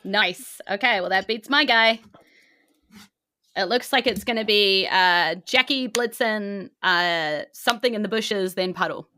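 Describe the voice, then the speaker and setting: A woman's voice: medium pitch, unhurried, bright, forceful tone, slight background noise. A woman casually narrating a tabletop game as game master.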